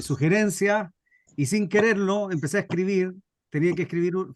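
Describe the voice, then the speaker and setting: A man's voice: a little high, no echo. A middle-aged Chilean man introducing himself to a group and telling anecdotes informally, with somewhat hesitant phrasing. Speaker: radio drama scriptwriter and workshop speaker.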